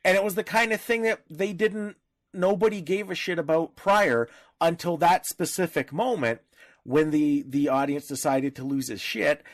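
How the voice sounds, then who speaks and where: A man's voice: fairly high pitch, normal speed, dry recording. Blunt, irreverent man in a dry recording. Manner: blunt, irreverent, freely profane. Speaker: podcast co-host. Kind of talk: casual podcast discussion.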